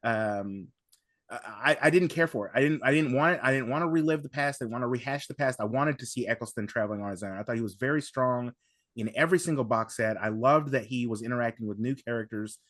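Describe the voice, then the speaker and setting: A man in casual podcast chat, enthusiastic as a fan, halting and rambling as he circles back to rephrase. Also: mid-range voice, a bit bright, no room sound, studio quality. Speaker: podcast guest.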